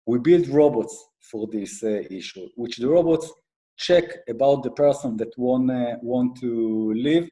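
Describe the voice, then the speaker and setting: A middle-aged man with an Israeli accent giving an explanatory business talk as a guest expert, haltingly, with frequent fillers. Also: medium pitch, dark tone. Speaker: guest speaker, IT advisory consultant and accountant.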